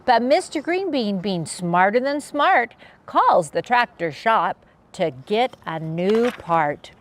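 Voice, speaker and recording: A woman reading a rhyming picture book aloud to young children in an expressive, sing-song and playful way. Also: medium pitch, slow. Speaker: children's story reader, channel host.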